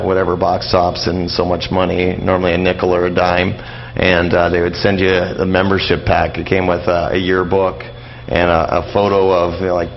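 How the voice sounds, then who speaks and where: Deep, gravelly middle-aged man, halting talker. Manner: halting, rambling, often repeating phrases. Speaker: interview guest, old-time radio enthusiast. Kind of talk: casual radio interview, reminiscing and explaining. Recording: dull, lo-fi radio interview with noise.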